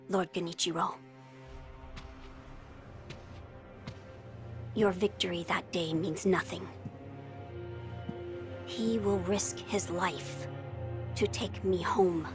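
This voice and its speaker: A woman's voice: mid-range voice, lightly acted. Woman playing solemn young noble boy, echoing hall. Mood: defiant. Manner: formal, measured, grave. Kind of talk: dramatic video game acting. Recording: echoey hall reverb. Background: music.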